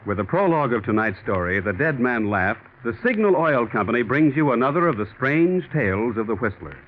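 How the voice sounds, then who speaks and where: Animated man announcing on tinny vintage radio. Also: medium pitch, a little fast. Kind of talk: 1940s radio sponsor announcing. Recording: tinny, hissy 1940s radio broadcast.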